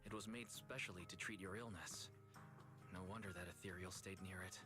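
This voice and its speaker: An earnest, caring young friend in an anime-style game. His voice is very deep and he talks quickly, in an understated way, with some music behind him. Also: fairly even intonation, bright, forceful tone. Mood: reflective.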